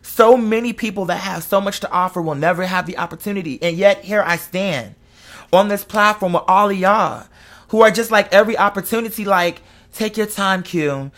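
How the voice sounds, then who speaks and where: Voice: mid-range voice, normal speed, a bit bright. An online host in their twenties speaking candidly and emotionally to followers, with emphatic, slangy, profane phrasing and repetition for emphasis. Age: 20s. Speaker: online content creator and live show host.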